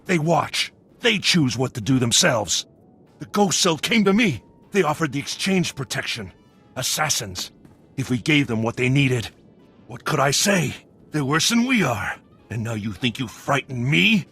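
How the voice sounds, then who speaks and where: A man's voice: medium pitch, big pitch swings, measured pace, vocal fry, a bit bright, husky, faint music behind, exaggerated voice. A man playing a nervy, defensive smuggler in a video game, agitated in manner. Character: nervy, defensive male crime syndicate smuggler. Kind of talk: video game dialogue acting.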